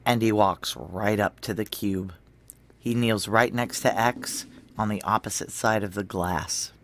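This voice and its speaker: A man's voice: fairly low pitch, steady tone, a little slow, fairly bright tone, a little hiss or hum. A man playing a tough, controlled security chief who narrates a sci-fi noir audio drama in clipped, terse phrases. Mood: tense, controlled. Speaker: narrator and lead character.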